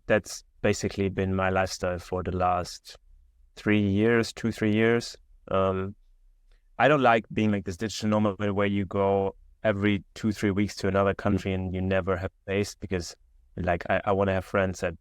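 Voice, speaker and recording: A man's voice: deep voice, clean tone, dry recording. Young German man, dry video call. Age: 20s. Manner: relaxed, many fillers and restarts. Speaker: guest entrepreneur. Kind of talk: casual interview chat. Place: indoor room.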